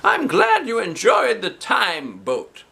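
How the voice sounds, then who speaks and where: Cheerful woman science teacher, sing-song and high, slow and a bit distant as a reader puts her on. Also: huge pitch swings, vocal fry, pushed and bright, full character voice. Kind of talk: reading a children's book aloud.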